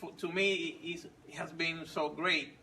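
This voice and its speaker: A man in his forties, not a native English speaker, telling his story slowly with many pauses and restarts. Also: fairly high pitch, fairly even intonation, bright, forceful tone, distant mic, slight background noise. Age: 40s. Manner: halting, many fillers and restarts. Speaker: fit club member sharing his story. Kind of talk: casual personal story.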